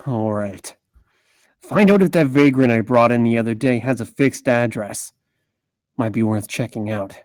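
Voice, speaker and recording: A weary, hard-boiled investigator, acted by a man at a script read. His tone is dark and relaxed, and the sound is dry. He speaks with measured, brooding deliberation, like someone who expects the worst. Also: medium pitch, no echo, natural voice. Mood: terse, pressing. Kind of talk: scripted table read, noir monologue.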